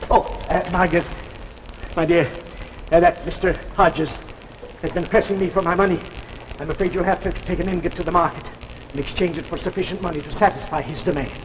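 Man playing high-voiced, driven old inventor. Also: steady tone, fairly quick, natural voice. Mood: driven, insistent. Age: older. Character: driven, demanding older male inventor. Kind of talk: 1950s live television drama acting. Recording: noisy, muffled 1950s TV soundtrack.

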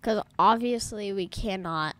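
A woman's voice: mid-range voice, a little slow, fairly bright tone. A woman gaming YouTuber giving casual, rambling let's-play commentary, talking straight to her viewers, her voice a little off from allergies. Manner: chatty, rambling, talks to viewers as "guys". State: slightly off voice from allergies.

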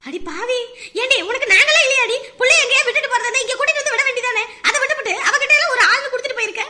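A woman's voice: high-pitched, fairly even intonation, very fast, very noisy, close to an everyday voice. A woman playing a protective older village mother in a family drama, with a blunt, scolding manner. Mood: furious. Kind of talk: melodramatic family drama acting.

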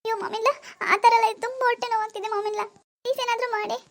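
A woman plays a demanding young pregnant wife in a family drama, pleading and whining in a very high voice. Her lines come in fast, pressing bursts. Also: fairly even intonation, a little hiss or hum. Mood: pained pleading. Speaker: daughter-in-law.

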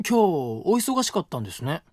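An actor playing a polite, gentle young college student who cooks and patiently explains recipes. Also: male voice, on the high side, sing-song, a little fast, no room sound, clean studio sound. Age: young adult. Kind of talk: studio audio drama. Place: dry studio.

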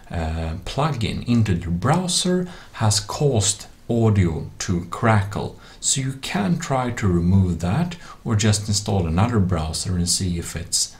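A man with a low, deep voice presents a tech tutorial as a voice-over. He explains things in a casual, practical manner. His English has non-native phrasing. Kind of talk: casual explanatory screen-recording narration. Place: voice-over for a screen recording.

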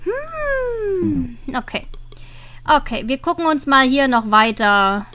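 A woman with a cold chats through a game playthrough, joking and thinking out loud. Her voice is high and bright, with the game's music playing softly behind her. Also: very animated, slow pace. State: has a cold. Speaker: let's play host. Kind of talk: casual gameplay commentary.

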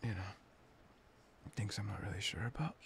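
A young man playing a soft, playful, devoted boyfriend in an intimate ASMR roleplay, breathy, hesitant and often near a whisper. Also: lowish voice, moderate pace, a little hiss or hum. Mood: teasing, playful.

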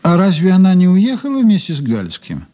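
An actor playing a polite, patient, probing investigator with a husky voice in a 1980s Soviet radio play. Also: male voice, sits high, wildly swooping pitch, a little fast, mellow. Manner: polite, questioning, hesitant probing.

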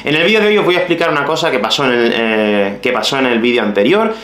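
A man in his thirties from Málaga, with a softened Andalusian accent, explaining things to the camera for language learners in an animated, direct way. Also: mid-range voice, fast talker, roomy sound. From Málaga, Spain. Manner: animated, gestures with his hands, addresses viewers directly. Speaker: Spanish teacher. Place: small room at home.

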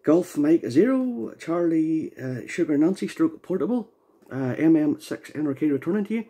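Male ham operator, husky and soft-toned, casual, unhurried delivery of call signs and short reports. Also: on the high side, lively intonation. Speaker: amateur radio operator. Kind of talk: casual ham radio contact. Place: indoor radio station, recorded near the equipment.

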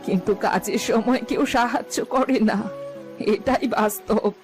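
A woman's voice: on the high side, measured pace, faint music behind, natural voice. A woman playing a poor, worried old village mother in a cartoon, pleading and lamenting. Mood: anxious complaining. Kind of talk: Bengali fairy-tale cartoon dialogue.

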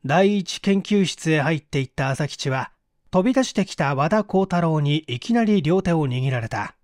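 A man reading a mystery novel aloud as scripted narration, measured and expressive. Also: on the high side, dry recording, studio quality. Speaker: audiobook reader.

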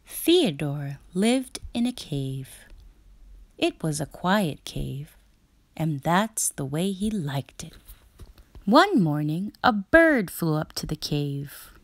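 A woman reading a children's picture book aloud as a storyteller, expressively and with deliberate, dramatic pauses. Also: low-pitched, very animated, no echo.

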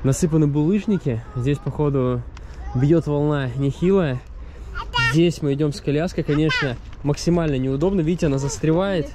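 A man's voice: fairly high pitch, moderate pace. A man in his thirties chatting casually as he walks, filming himself with a camera at arm's length outdoors by a seaside harbor. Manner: chatty, rambling, talks while walking. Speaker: travel vlogger. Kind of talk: casual walking vlog. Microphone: camera held at arm's length. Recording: outdoor wind and street noise.

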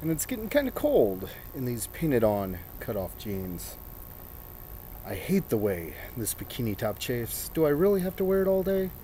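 A man in his thirties with a soft, dark tone recites in a mock-dramatic style. His phrasing is theatrical, stretched out and broken by deliberate pauses. He is recorded outdoors on a camera, with some noise behind him. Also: mid-range voice, big pitch swings. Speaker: performer. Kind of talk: mock-dramatic reading of song lyrics. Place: outdoors in a snowy residential yard.

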